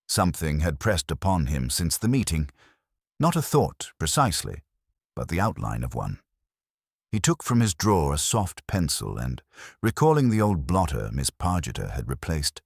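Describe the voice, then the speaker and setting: Very deep male storyteller, crisp and lively. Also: no room sound, studio quality. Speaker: narrator. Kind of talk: scripted audiobook narration of a mystery.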